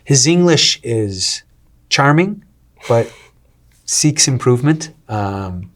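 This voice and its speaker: A man, a restaurant owner talking as an interview guest, energetic and salesy with many fillers and restarts. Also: mid-range voice, slow pace. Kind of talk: casual interview talk.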